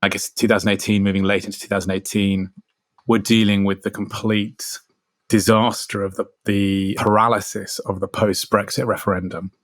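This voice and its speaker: A man with a fairly deep voice reflects aloud in unscripted commentary. He searches for careful wording and often revises himself. The recording is dry. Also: level tone, normal speed, a bit bright, no room sound. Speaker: theatre leader.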